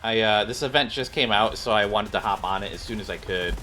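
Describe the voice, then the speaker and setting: A man with a lowish voice talks casually over a video game stream. He rambles and mutters to himself as he plays, with game music behind him. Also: a little fast, bright, forceful tone. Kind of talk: casual live stream chat over gameplay.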